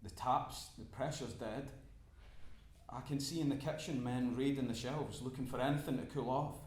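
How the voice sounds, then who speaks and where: An actor playing a frightened oil rig worker in a dramatic monologue, halting and breathless as he repeats himself. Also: medium pitch, darkish tone, reverberant, lightly acted. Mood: desperate, subdued. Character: frightened oil rig worker trapped in a fire, trusting management. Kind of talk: dramatic first-person stage monologue.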